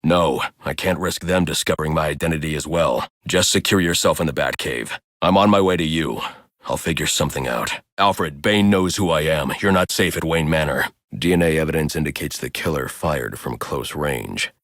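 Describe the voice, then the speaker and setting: An actor voicing a grim, brooding masked vigilante detective in a video game, speaking in a terse, stern, deadpan way. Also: male voice, extremely low pitch, normal speed, dry recording, clean studio sound. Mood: grim, determined, then urgent, commanding. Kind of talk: scripted video game voice lines.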